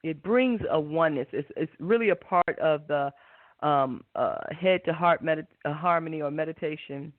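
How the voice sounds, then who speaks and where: A woman hosting a spiritual talk and guided meditation, speaking in an unhurried, reflective way and often checking for agreement. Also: low voice, no echo. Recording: tinny narrow phone-like line.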